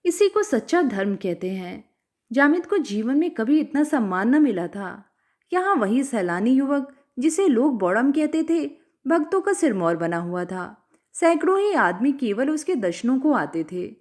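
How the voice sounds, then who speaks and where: High-pitched, animated woman storyteller. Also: unhurried. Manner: expressive, acts out dialogue. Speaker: storyteller reading short fiction aloud. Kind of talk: scripted story narration, audiobook-like.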